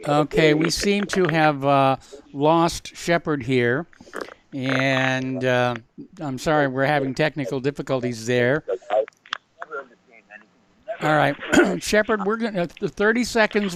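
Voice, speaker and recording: A man hosting a talk radio show, taking calls and commenting on the news in a halting, blunt way full of "uh" fillers. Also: fairly high pitch, background noise. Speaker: radio host.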